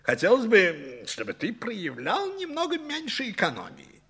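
A jovial, indulgent banker in a vintage Soviet radio drama. His voice is high and forceful, with a husky edge. He exclaims theatrically and swings hugely between confiding murmurs and loud cries. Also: wildly swooping pitch, moderate pace, exaggerated voice. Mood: amused, indulgent. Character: jovial, excitable banker, fond godfather of an eccentric young man. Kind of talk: Soviet 1960s radio play acting.

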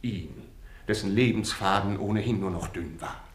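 An actor playing a smooth, scheming notary in a radio drama, with an eloquent, pompous, legalistic way of speaking. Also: male voice, deep voice, normal speed, natural voice. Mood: smoothly pleading. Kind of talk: German radio drama acting. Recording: roomy echo on radio drama.

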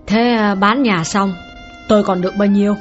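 A woman voicing an aging wife betrayed by her husband, shrill and sobbing in her complaints, in an audiobook. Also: fairly even intonation, moderate pace, lightly acted. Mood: cold. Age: older. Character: aging betrayed wife, bitter and grief-stricken. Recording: slightly dull, faint background music.

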